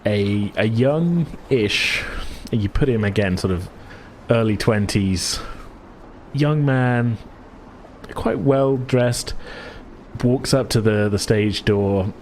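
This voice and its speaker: A man's voice: fairly low pitch, takes it slowly, a little mellow, slight background noise. A man acting as game master, improvising descriptive narration for a tabletop game in a hesitant, searching way.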